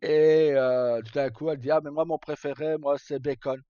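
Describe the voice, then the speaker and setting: Male streamer, relaxed dark tone, colloquial rambling storyteller, slightly muffled audio. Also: a little high, normal speed, no echo. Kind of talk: casual live chat, recounting roleplay stories.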